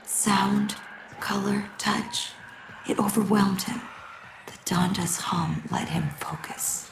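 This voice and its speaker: Creaky, solemn cult priestess. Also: female voice, medium pitch, fairly even intonation, takes it slowly, in a whisper. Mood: reverent. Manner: solemn, incantatory. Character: devout female cult guardian, solemn, mystical and fervent. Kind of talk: dramatic game voice acting. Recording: echoey reverb with prominent music behind.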